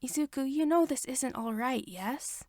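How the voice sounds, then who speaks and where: Woman doing a gentle, fretful young mum, soft and hushed, a little higher, in a storybook reading. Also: moderate pace, no room sound, studio quality, close to an everyday voice. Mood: tender. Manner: soft, soothing, sometimes whispered. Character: gentle worried young mother, tender and protective.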